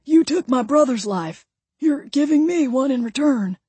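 Woman playing a brash, high-pitched redneck man. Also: expressive, normal speed, dark tone, husky, no echo. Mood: insistent, pleading. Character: vengeful redneck man, brash, demanding to become a vampire.

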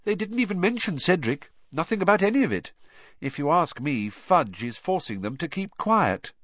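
Storybook schoolgirl played by a man: pitched up, lively intonation, precise, brisk, smugly know-it-all. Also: no room sound, dull audio. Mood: calm, insistent. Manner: precise, emphatic, explanatory. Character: clever teenage schoolgirl, earnest, brisk and smugly triumphant.

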